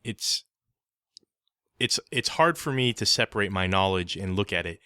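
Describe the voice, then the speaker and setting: A man's voice: low voice, expressive, normal speed, bright, forceful tone, smooth, no echo, clean studio sound. A man co-hosting a podcast, giving his opinions in a casual, conversational review style. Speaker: podcast co-host.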